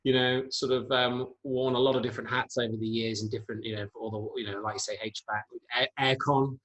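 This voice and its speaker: A man's voice: medium pitch, level tone, a little fast, fairly bright tone, low-quality recording. Rambling male podcast host. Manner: rambling, hesitant questions with fillers. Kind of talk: casual interview questions.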